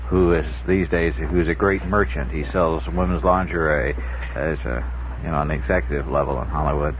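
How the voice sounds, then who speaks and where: A man answering as a guest in a radio interview with halting, restarted sentences, on an old 1960s tape. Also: a bass voice, fairly even intonation. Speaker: radio show guest, author. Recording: muffled, noisy 1960s radio tape, phone-like.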